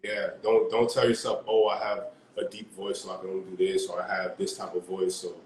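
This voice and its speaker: A man's voice: deep voice, level tone, fairly quick, roomy sound, a little hiss or hum, poor audio. A young man, a music artist and producer, talking casually on a video call from a small room at home, laid-back with lots of fillers.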